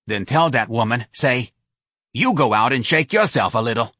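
A man's voice: mid-range voice, animated, normal speed, sharp tone, no room sound, clean studio sound. Man doing a brassy New busybody woman. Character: brash, scheming middle-aged woman, loud and pushy.

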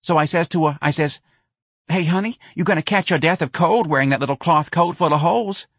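Man putting on a fussy old New mother, high and pleading, very dry sound. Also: a little fast, a bit bright, breathy edge, no echo. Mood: pitying. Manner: chatty, pleading, high wavering voice. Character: fussy elderly working-class mother, sentimental.

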